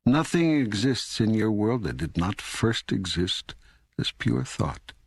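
A very deep, husky God speaks as a patient teacher in an audiobook. He is calm and authoritative, placing each phrase deliberately in a close, dry recording. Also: dry recording, exaggerated voice. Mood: calm, instructive. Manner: measured, deliberate, emphatic phrasing with pauses. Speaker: audiobook narrator. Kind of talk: scripted audiobook dialogue reading.

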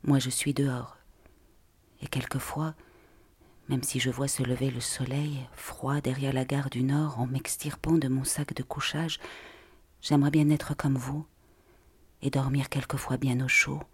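A man reads a literary monologue at a slow pace. His voice is breathy and often slips toward a half-whisper. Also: mid-range voice, flat delivery. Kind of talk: literary text read aloud as a monologue.

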